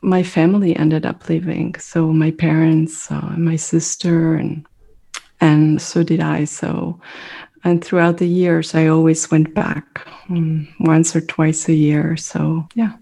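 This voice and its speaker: Woman, native French speaker, middle-aged, low flat voice, husky and gravelly, mellow tone, slow reflective speech. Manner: thoughtful, searching for words, soft pauses. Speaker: mindfulness meditation teacher, guest. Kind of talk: reflective conversational interview.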